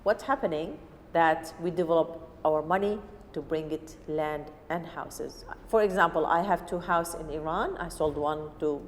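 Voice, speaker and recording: Earnest woman, low voice. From Syria. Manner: earnest, halting English with restarts. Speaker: cooking teacher. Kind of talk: personal story told in an interview. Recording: echoey room with faint noise.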